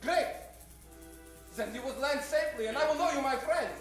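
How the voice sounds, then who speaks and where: Guy playing a suspicious muddled man, stiff formal talk, really high, old radio comedy, super echoey. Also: moderate pace. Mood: relieved, decisive. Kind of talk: improvised old-time radio comedy acting. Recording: very echoey stage with music.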